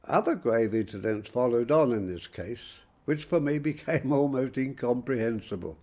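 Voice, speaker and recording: An actor playing a wry Victorian police detective, recalling a case in a measured dramatic monologue. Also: male voice, medium pitch, a little mellow. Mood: rueful. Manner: wry, measured, emphatic repetitions. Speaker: actor introducing a story.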